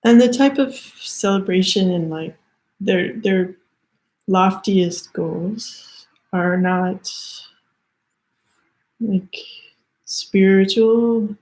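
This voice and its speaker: A woman with a Canadian accent giving a halting, thoughtful spiritual card reading, full of fillers and restarts. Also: mid-range voice, poor audio. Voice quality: soft-spoken, hesitant, many fillers and restarts. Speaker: tarot reader.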